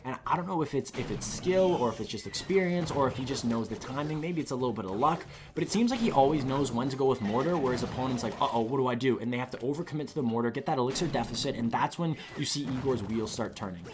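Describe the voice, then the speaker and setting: A man commentating live on an esports match, losing his voice, talking in a rambling, excitable way that climbs to loud peaks on big plays. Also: medium pitch, fast, hardly any pauses, noisy background. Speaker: esports caster.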